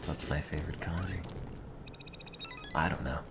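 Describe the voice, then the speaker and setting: Super low-voiced young guy rambling dryly on stream, music going behind him. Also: moderate pace. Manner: dry, rambling and offhand. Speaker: livestreamer answering chat. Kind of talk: casual stream chat. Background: music playing.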